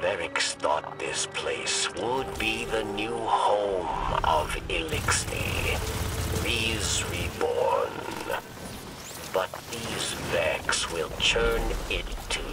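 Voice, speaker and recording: An old insectoid alien rebel from a video game, weary and creaky. He speaks extremely slowly and haltingly in a deep register, somewhat distant beneath loud music. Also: lively intonation, heavily acted. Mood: somber. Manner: halting, clipped, speaks of himself in third person.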